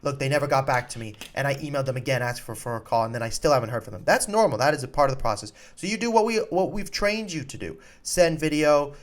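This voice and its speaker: A man's voice: mid-range voice, expressive, fast, hardly any pauses. Emphatic man giving direct, informal advice. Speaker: college soccer recruiting coach and podcast host. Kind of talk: informal advice talk to students and parents.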